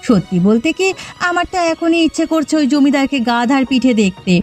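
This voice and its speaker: Female actor as schemer's wife, high-pitched, spiteful, gloating, mocking, cartoon dialogue, music behind. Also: expressive, normal speed, a little mellow. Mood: gloating, amused. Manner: gleeful, mocking. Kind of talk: exaggerated cartoon villain dialogue.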